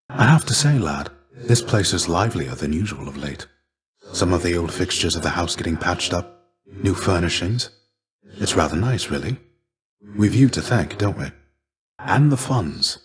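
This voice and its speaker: A man playing a gentle, melancholy ancient Greek warrior shade and mentor in a video game, speaking in a measured, formal, soft-spoken way. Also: low-pitched. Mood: calm, reflective.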